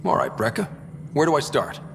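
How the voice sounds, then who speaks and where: Young wry space explorer in a game, lots of up and down, echoey with some hiss. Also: male voice, on the high side, very animated, brisk, a bit bright. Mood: curious. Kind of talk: video game voice acting. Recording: reverberant, faint background noise.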